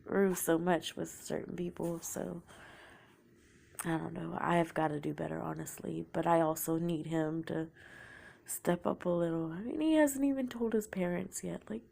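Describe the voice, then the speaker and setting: A woman's voice: fairly low pitch, fairly even intonation, normal speed, gravelly, darkish tone. A young woman with a sore throat from a cold, telling her own story in a casual, confessional way and often trailing off into a whisper. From Oklahoma, United States.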